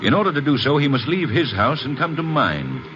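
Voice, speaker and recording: An actor playing a courteous but coldly menacing crime boss in an old radio detective drama, speaking formally and with measured threat. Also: male voice, mid-range voice, sing-song, lightly acted. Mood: polite menace. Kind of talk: 1940s-50s radio detective acting. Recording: muffled, noisy old radio recording.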